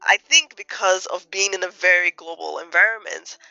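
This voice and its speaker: A woman from Nigeria giving thoughtful interview answers as a guest, measured and reflective with fillers. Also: lowish voice, creaky, bright, forceful tone, no room sound, lo-fi sound. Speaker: guest economist.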